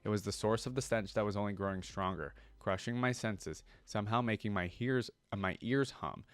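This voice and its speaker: A man's voice: lowish voice, brisk, a bit bright, clean tone, no echo. A man reading a story aloud and commenting casually as a reaction video host, often thinking aloud.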